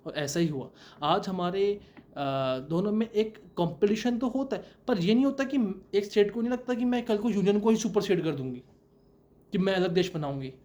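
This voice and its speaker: A man's voice: high voice, normal speed, a little mellow. A young man giving a law lecture, asking rhetorical questions and answering them himself, with many English terms mixed in. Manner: mixes English terms into Hindi. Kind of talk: explanatory lecture.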